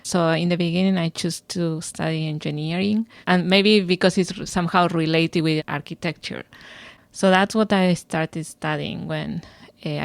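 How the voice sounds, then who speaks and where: A woman in her forties with an Argentine Spanish accent answers interview questions thoughtfully, dropping "eh" between her thoughts. Her voice sits a touch low. The recording is close and dry. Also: dry recording. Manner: thoughtful, with "eh" fillers and restarts. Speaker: guest scientist.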